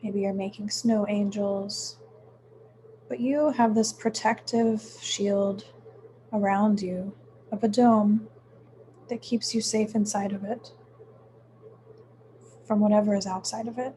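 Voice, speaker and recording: A woman leading a guided meditation in a soothing, unhurried manner, with frequent pauses between short phrases. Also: mid-range voice, steady tone, warm tone, slight background noise, low-quality recording. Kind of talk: guided meditation, gentle instruction.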